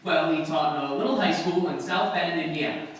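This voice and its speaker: A man's voice: a little high, fairly quick, close to an everyday voice. An actor playing a slangy, awkward everyman magazine writer in an old-time radio comedy. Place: live stage performance in a hall. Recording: echoey hall, distant mic, faint noise.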